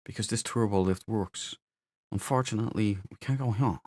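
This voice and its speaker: A man's voice: on the low side, normal speed, darkish tone, dry recording. A man giving casual playthrough commentary on a game, muttering to himself and mildly swearing as he goes. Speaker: let's player.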